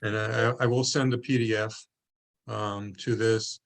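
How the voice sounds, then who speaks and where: A man's voice: fairly low pitch, flat delivery, moderate pace, creaky. A man hosting an online video call meeting, thanking attendees and asking for questions, with frequent fillers and restarts. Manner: hesitant, many fillers and restarts. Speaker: meeting organizer.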